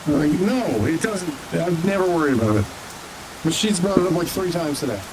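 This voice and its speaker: A man's voice: fairly high pitch, lively intonation, brisk, background noise, lightly acted. A man playing a dry, emphatic townsman in improvised fantasy roleplay in an online game. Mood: casual.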